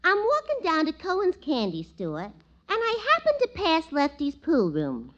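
On a muffled old radio comedy, a brash, dim young woman. Her voice is extremely high and leaps up and down as she talks. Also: huge pitch swings, normal speed, fairly bright tone, heavily acted. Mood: breezy, brash. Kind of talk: 1940s radio comedy acting.

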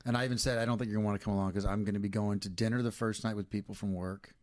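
A man's voice: fairly low pitch, fairly even intonation, very fast. A middle-aged man co-hosting a podcast, chatting casually, teasing and swearing freely. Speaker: podcast co-host. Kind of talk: casual banter.

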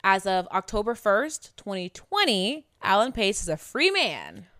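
A woman's voice: mid-range voice, very animated, a little slow, a bit bright, no room sound, clean studio sound. A woman in her late twenties co-hosting a true-crime podcast in casual, candid, slangy talk with some swearing. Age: late 20s. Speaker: podcast co-host.

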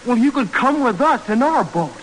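An actor playing an earnest, excitable young boatman on an expedition in an old-time radio drama. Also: very high-pitched, normal speed. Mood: eager. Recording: slightly noisy old radio recording.

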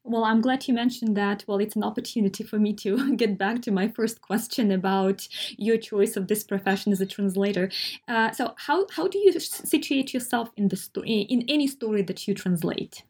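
A woman with a mid-range voice asks questions as an interviewer. She pauses and rephrases as she goes, building her question step by step. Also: medium pitch, a little mellow, breathy edge.

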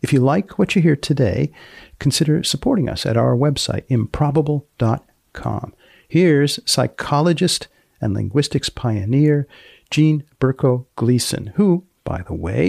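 A man's voice: medium pitch, gravelly, a little mellow. A man hosting a podcast in a conversational interview style, with a playfully probing manner. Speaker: podcast host and magazine editor. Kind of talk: conversational interview with scripted intro.

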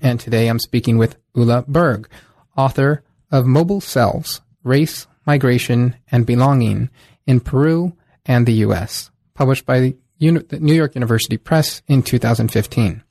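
Measured man hosting a podcast. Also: mid-range voice, a little mellow, dry recording, studio quality. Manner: measured, formal. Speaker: podcast host. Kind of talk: scripted introduction and interview questions.

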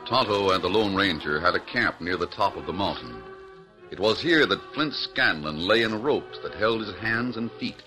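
A man's voice: sits low, animated, moderate pace, music in the background, rough recording. A man narrating an adventure in a dramatic, scripted storytelling style.

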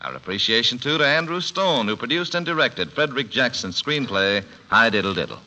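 A man's voice: medium pitch, lively intonation, a little fast. A man announcing formally in 1940s network radio style. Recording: dull old radio recording with audience, music. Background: loud music and audience.

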